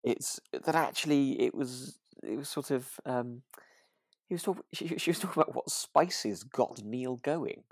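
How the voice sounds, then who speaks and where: A man's voice: mid-range voice, unhurried, no room sound, studio quality. A man co-hosting a casual podcast about a radio drama, talking in a hesitant, rambling way. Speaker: podcast co-host.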